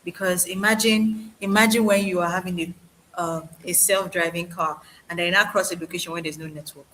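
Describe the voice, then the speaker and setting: A Nigerian woman with an emphatic, animated manner, speaking in a casual roundtable discussion over a video call. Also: lowish voice, brisk, slight background noise, rough recording. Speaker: panelist.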